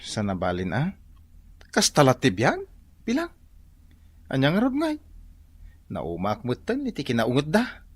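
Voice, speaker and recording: A man's voice: medium pitch, huge pitch swings, measured pace, long pauses, breathy edge, exaggerated voice. An actor playing a mild, simple village man in an Ilocano radio drama, speaking hesitantly. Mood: resigned, earnest.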